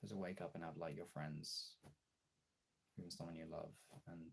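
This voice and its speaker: A man with a gravelly, husky voice in a casual, personal chat to viewers, halting and candid, swearing often. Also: deep voice, steady tone. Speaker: streamer.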